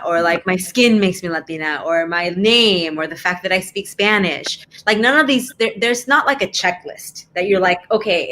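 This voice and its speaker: A woman from Mexico speaking as a guest in a casual online discussion, explaining things haltingly as she thinks aloud, with faint noise behind her. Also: lowish voice, bright, forceful tone. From born in Mexico. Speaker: panel guest. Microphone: live video call.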